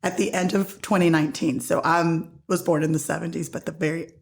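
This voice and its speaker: A woman in her forties with a loud voice, chatting excitably as a podcast host. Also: sits low, fairly quick, creaky. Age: 40s. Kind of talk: casual group chat. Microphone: podcast microphone. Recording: roomy echo around the mic.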